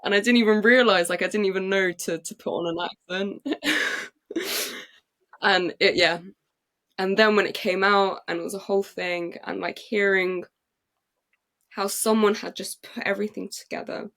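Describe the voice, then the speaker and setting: A young English woman, a playwright and actress, telling her story in an excited, chatty way with many fillers and restarts. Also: medium pitch, moderate pace, long pauses. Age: young adult. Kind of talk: casual interview storytelling. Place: podcast recording.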